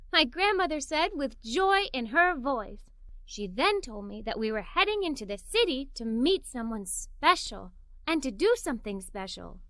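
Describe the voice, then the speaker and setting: A woman reading a children's story in an expressive, scripted narrating style. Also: sits high, no room sound, studio quality. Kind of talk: scripted read-aloud story narration for kids.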